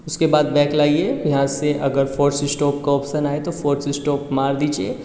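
A man's voice: on the high side, fairly even intonation, moderate pace, mellow. A man giving a casual step-by-step tutorial as host, explaining and repeating each step before the next. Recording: echoey, reverberant room.

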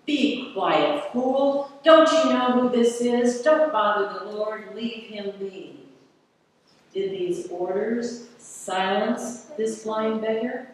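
Woman preacher, middle-aged, unhurried sermon, distant camera mic, booming church reverb. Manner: slow, deliberate, with rhetorical questions. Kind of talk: sermon read from a pulpit. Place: large church sanctuary. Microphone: far camera at the back of the church. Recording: echoey church hall from far off.

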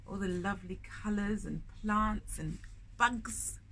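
Expressive woman reading aloud to young children. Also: mid-range voice, takes it slowly. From lives in London, England. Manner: expressive, chatty asides and questions to young listeners. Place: indoors in a bookshop. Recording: clear background noise, shop ambience. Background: background ambience noise.

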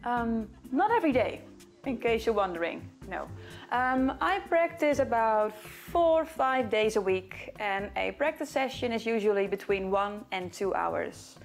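A woman's voice: a little high, expressive, measured pace, soft background music. A young Dutch woman with a Dutch accent, chatting casually as she answers questions to camera. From Netherlands. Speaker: violinist and video host. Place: small living room in front of bookcases.

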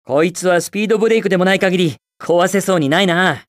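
An actor playing a cocky, upbeat young hedgehog hero in a video game, with a brash, casual, self-assured delivery. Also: male voice, high-pitched, quick pace, dry recording, studio quality, lightly acted. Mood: matter-of-fact, helpful. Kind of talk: Japanese video game voice clips.

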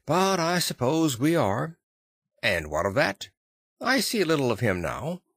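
A man voicing a boisterous older gentleman doctor in an audiobook, emphatic and theatrical. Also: a little high, huge pitch swings, unhurried, slightly rough, no echo, clean studio sound, pitched above the performer's own voice, exaggerated voice. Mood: jovial.

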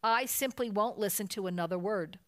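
A woman's voice: mid-range voice, normal speed, close to an everyday voice. Woman as firm, sensible mama bear. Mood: firm scolding. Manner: firm, reasoning, motherly scolding. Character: firm, sensible mother bear, calm but scolding.

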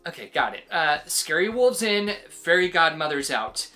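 Woman playing a chatty, nervous storybook sheep, swooping pitch. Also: big pitch swings, moderate pace, bright, forceful tone, soft background music, full character voice. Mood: wheedling. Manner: hesitant, wheedling, full of suggestions. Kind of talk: animated children's picture-book read-aloud.

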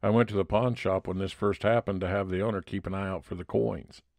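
A man's voice: low voice, steady tone, a little fast, creaky, darkish tone, no echo, studio quality. A man playing a friendly, chatty police detective in an old-time radio style drama.

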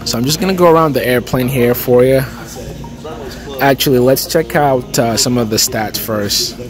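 A man giving a casual, relaxed walkaround tour as a vlogger, filling pauses with "okay" and "uh", recorded on a handheld phone in a big indoor hangar. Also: medium pitch. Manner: relaxed, chatty, lots of "okay" and "uh". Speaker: aviation vlogger. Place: large aircraft hangar at an expo, indoors. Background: music and crowd chatter.

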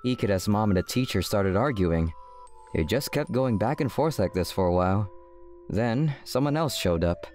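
A man's voice: on the low side, animated, moderate pace, a little mellow, soft background music, natural voice. A man voicing a guarded teenage schoolboy who narrates his story casually in a manga dub. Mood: deadpan, narrating. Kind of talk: scripted first-person manga dub narration.

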